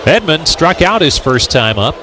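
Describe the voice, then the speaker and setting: A man's voice: a little high, sing-song, normal speed. A man doing live radio play-by-play commentary of a baseball game as the announcer. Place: ballpark broadcast booth. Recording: ballpark outdoor background noise. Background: ballpark ambience, noise.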